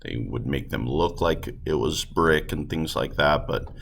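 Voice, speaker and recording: A man with a gravelly voice teaching a class, giving informal instructions and explanations as he reads aloud. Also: a bass voice, a little slow, a bit bright, a little hiss or hum.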